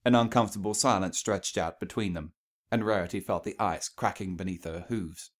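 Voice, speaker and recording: A man's voice: deep voice, brisk. A man reading scripted fiction aloud as a story narrator.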